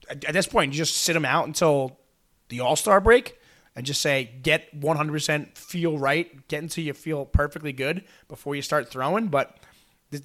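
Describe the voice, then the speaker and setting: A man co-hosting a sports podcast with a slightly raspy, hoarse voice, talking quickly and casually with frequent restarts. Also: medium pitch, fairly bright tone. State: hoarse after a busy weekend. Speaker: podcast co-host.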